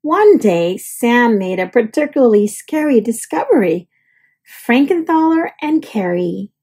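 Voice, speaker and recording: A woman reads a children's picture book aloud expressively, with deliberate dramatic pauses. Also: fairly high pitch, sing-song, dark tone, breathy edge.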